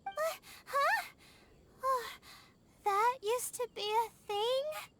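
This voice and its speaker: A woman's voice: very high-pitched, expressive, extremely slow, noisy background, heavily acted. A woman playing a young, weary girl student in a video game, talking in a timid, hesitant, unsure way. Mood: timid, puzzled. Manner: hesitant, soft-spoken, unsure.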